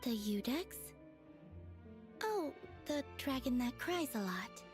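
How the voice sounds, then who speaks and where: A woman's voice: high-pitched, big pitch swings, slow pace, music in the background, exaggerated voice. A woman playing a serene, childlike and blunt young woman in an anime-style game, speaking plainly and guilelessly. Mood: curious, blunt.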